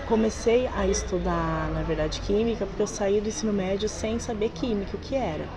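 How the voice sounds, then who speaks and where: A woman's voice: medium pitch, fairly quick, a crowd in the background. A woman chemistry teacher talking earnestly about her path into teaching in a documentary interview. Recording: noisy background.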